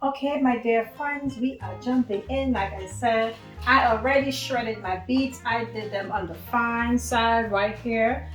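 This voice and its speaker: Woman from trinidad and tobago doing a cooking video, higher voice, chatty, echoey kitchen, soft music. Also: unhurried. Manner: warm, chatty, addresses viewers as friends. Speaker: cooking show host. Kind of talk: home cooking demonstration.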